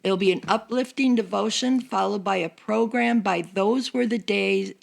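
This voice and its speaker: An older American woman speaks conversationally as a member of a church seniors' group. Her voice has a noticeable fry to it, at an ordinary pitch for a woman. She talks as if announcing an event to friends. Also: normal speed, slight background noise. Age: older, over 50.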